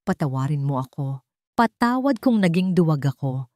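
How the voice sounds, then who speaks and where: A woman's voice: sits low, big pitch swings, moderate pace, a little mellow, dry recording. An earnest, devoted young Filipino man in love voiced by a woman speaks tenderly in a romantic audio drama. The delivery is emphatic and full of swooping emotion, recorded dry. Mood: remorseful, tender.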